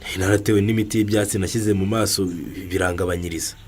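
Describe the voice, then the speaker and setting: A man's voice: lowish voice, steady tone, very fast, slight background noise, close to an everyday voice. A man playing a good-natured, trusting village husband in a radio drama. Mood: explaining. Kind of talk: Kinyarwanda radio drama acting.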